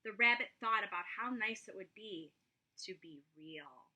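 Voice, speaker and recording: A woman reading a children's story aloud in an expressive, dramatic manner. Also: mid-range voice, a little slow. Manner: dramatic, with whispered asides.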